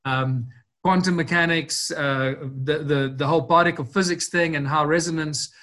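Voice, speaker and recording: A man's voice: fairly high pitch, steady tone, normal speed, sharp tone. A man guest in an interview, speaking earnestly and expansively, often restarting and repeating words. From Southern Africa. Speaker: interview guest, researcher of ancient ruins. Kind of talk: long-form podcast interview.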